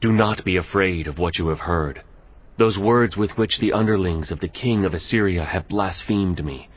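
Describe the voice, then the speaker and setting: An actor playing the prophet Isaiah, delivering a message with solemn authority. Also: male voice, sits low, moderate pace. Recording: dull, distant-sounding audio.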